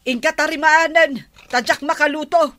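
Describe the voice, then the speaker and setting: An actress with an Ilocano accent playing an exasperated, nagging village captain's wife in a radio comedy drama. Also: female voice, sits high, fairly even intonation, moderate pace, pushed and bright.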